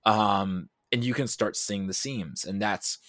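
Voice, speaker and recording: A man with a fairly low voice chats about films as a podcast guest. He thinks aloud, rambling and stopping and starting as he goes. The sound is very dry, with no room to it. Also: a little slow, a bit bright, dry recording. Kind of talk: casual film discussion.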